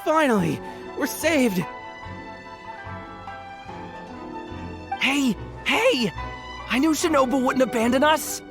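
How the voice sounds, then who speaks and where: High swooping voice, excitable loyal gang underling, whiny, drawn-out delivery, video game. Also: over music. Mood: overjoyed.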